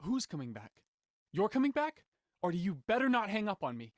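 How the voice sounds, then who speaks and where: A woman acts as a frantic man in an audio thriller, pleading and insistent. The delivery is urgent, with lively swooping pitch and a close, dry sound. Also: a little fast, dry recording, natural voice. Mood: desperate. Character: John, frantic, pleading man searching for a friend.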